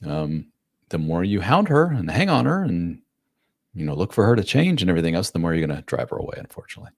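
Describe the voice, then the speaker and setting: Man, late forties, coach, live chat answers, very low voice, dry close sound. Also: big pitch swings, fast, creaky, no echo. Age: 40s. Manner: casual, plain-spoken, acts out quotes in a raised voice. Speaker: relationship coach. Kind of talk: live-stream Q&A.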